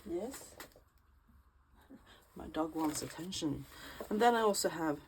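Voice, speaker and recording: Slow-talking Swedish woman. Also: sits low, expressive, noisy background. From Sweden. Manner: unhurried, chatty, many ums and restarts. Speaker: junk journal creator. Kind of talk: casual crafting vlog.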